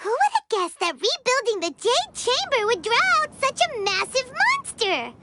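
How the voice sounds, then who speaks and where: A woman voicing a tiny, excitable childlike fairy girl companion with a squeaky, bubbly delivery in an anime-style video game. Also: normal speed, exaggerated voice. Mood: relieved, chatty. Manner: bubbly, exclamatory, refers to self by name. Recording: game music behind voice.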